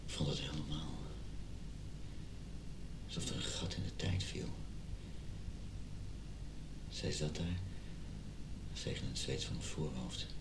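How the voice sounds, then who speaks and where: An actor playing an injured husband in a radio drama, earnestly and emotionally pleading for reconciliation. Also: male voice, slow, pauses often, full character voice. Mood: confessional, tender. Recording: roomy sound with background rumble.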